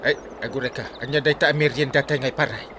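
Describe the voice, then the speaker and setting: A man's voice: medium pitch, fairly even intonation, fairly quick, background noise, lightly acted. A man with an Ilocano accent playing a hapless, squeamish village buffoon in a comedy drama, full of groans and yelps. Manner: groaning interjections, exaggerated yelps. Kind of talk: Ilocano comedy radio-style drama acting.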